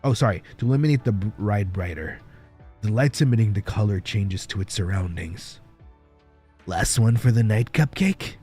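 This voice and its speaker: A man livestreaming in a playful, casual way, chatting and reading stories aloud with a husky voice. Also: lowish voice, lively intonation, normal speed, warm tone. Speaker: livestreamer, virtual streamer. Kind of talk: casual livestream chat and fan fiction reading. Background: background music.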